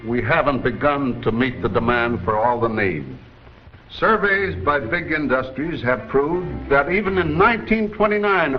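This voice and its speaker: A muffled 1930s educational film soundtrack with faint music. An actor plays a plain-spoken older American working man with a mid-pitched voice. He explains things in a folksy, persuasive and emphatic manner. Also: close to an everyday voice.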